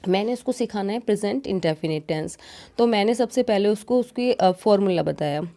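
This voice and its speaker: An Indian woman with a mellow, relaxed voice at a middle pitch teaches in an explanatory style. Her first language is Hindi, and she sounds didactic and deliberate as she sets out each point. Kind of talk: explanatory lesson, alternating read-out points and explanation.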